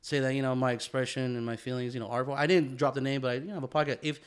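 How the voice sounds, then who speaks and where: Male podcast co-host, mid-pitched. Informal and reflective, profane, repeats phrases, addresses listener as "man" or "bro". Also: steady tone, very fast, clean tone. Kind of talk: casual, reflective conversation.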